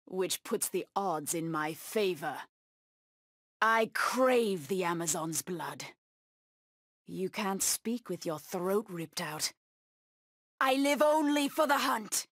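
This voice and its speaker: A woman's voice: mid-range voice, animated, slow pace, long pauses, a bit bright, dry recording, clean studio sound. An actress voicing a proud, bloodthirsty cat-woman huntress villain in a video game, with menacing, taunting delivery. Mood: fierce, bloodthirsty.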